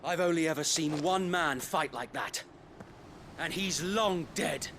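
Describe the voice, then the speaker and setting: An actor playing a loyal, aging British knight who leads a rebel band in a video game, speaking with formal, archaic earnestness in a weathered voice. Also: male voice, a little high, measured pace, fairly bright tone, a little hiss or hum. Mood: shocked. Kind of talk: dramatic video game voice acting.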